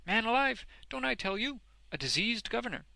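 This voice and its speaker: Loud, excitable old Cockney fellow. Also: male voice, extremely high pitch, a little fast, gravelly, no room sound, voice pushed up, exaggerated voice. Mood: eager, bluff. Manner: blunt, exuberant, emphatic, ungrammatical speech. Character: hearty, uneducated, enthusiastic old retired Cockney working man.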